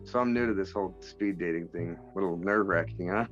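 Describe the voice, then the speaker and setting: Actor as low-voiced awkward male dater. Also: moderate pace, dull audio, faint music behind, close to an everyday voice. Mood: awkward, friendly. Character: nervous, chatty man at online speed dating. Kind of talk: audio drama acting.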